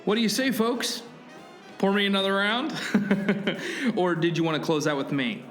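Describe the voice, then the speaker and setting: A man's voice: high voice, unhurried, a bit bright, soft background music. A man playing a chipper, overly courteous waiter in a comic radio play.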